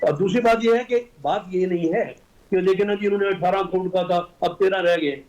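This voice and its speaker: A man's voice: high-pitched, a little fast, darkish tone, low-quality recording. A middle-aged man with a Pakistani accent, a politician arguing his party's position in a panel debate. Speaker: senator and party guest.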